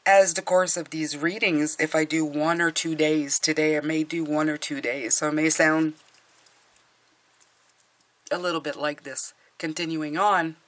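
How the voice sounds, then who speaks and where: A middle-aged woman with a hoarse voice from a cold, chatting casually through a tarot reading with fillers and restarts. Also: low-pitched, fairly even intonation, a little slow, a bit bright. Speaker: tarot reader.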